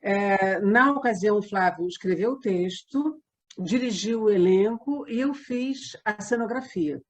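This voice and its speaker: A woman with a Brazilian Portuguese accent, an interview guest explaining conversationally, with halting digressions. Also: medium pitch. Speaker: interview guest, theatre company member. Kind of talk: conversational storytelling.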